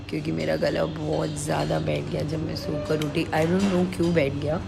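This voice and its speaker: A woman's voice: low voice, gravelly. A young woman travel vlogger talking casually to her phone camera, slipping English phrases into her Hindi. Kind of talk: casual vlog chat to camera.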